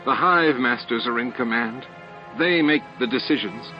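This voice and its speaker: A man's voice: medium pitch, moderate pace, pitched above the performer's own voice, natural voice. A man voicing an earnest, troubled young female alien scientist in an audiobook drama. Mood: despairing. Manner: earnest, anxious, hesitant. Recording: dull lo-fi audio with music.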